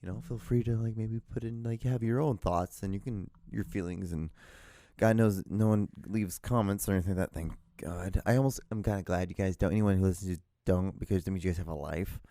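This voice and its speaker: Deep-voiced man rambling loudly on his podcast. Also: a little fast, no echo, studio quality. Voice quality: loud voice. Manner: rambling, lots of fillers and restarts. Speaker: podcast host. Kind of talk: solo rambling podcast chat. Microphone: handheld digital recorder.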